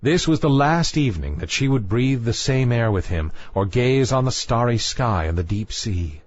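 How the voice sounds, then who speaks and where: A man reading a fairy tale as a storyteller, in a measured literary style. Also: medium pitch, lively intonation, no room sound, muffled recording. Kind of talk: scripted fairy tale narration.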